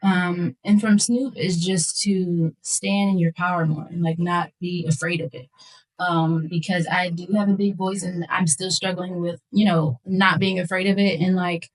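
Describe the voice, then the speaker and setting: A woman's voice: fairly low pitch, fairly even intonation, moderate pace, rough recording. An American woman in her twenties talking casually and earnestly as a guest in a podcast interview, explaining her thoughts. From Philadelphia, United States. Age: 20s. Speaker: guest, singer.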